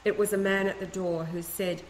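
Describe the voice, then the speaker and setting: A woman reading a first-person letter aloud in a steady, earnest way, with a few small restarts. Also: medium pitch, a little fast, a little hiss or hum. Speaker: reader of a letter. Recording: echoey room, faint ambience.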